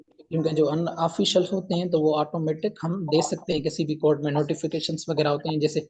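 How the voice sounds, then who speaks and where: Higher-pitched, flat-toned man teaching law. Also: fairly quick, slightly rough. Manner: mixes English legal terms into speech. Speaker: law lecturer. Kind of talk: informal explanatory lecture.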